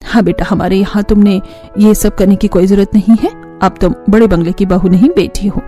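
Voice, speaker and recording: Woman acting a gentle motherly mother-in-law, mellow voice. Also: medium pitch, faint music behind, lightly acted. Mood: reassuring. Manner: reassuring, motherly, measured. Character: kind, gentle middle-aged mother-in-law. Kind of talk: cartoon family story dialogue.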